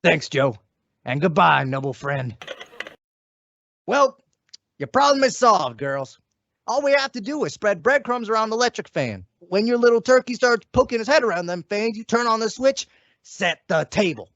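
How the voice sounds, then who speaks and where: Al, a cocky, creaky-voiced schemer played by a student. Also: mid-range voice, very animated, moderate pace, a bit bright, no room sound. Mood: scheming. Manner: cocky, slangy wise-guy patter. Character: shady wisecracking schemer boyfriend, a man. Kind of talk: old-time radio comedy acting. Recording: dull, lo-fi, dry audio.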